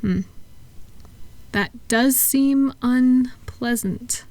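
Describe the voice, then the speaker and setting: A woman speaking softly and gently, at times breathy, in an unhurried, reassuring one-sided ASMR roleplay as a calming practitioner. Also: high-pitched, no echo. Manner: soothing, reassuring, unhurried, asking gentle questions. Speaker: audio roleplay performer playing a thought-calming practitioner.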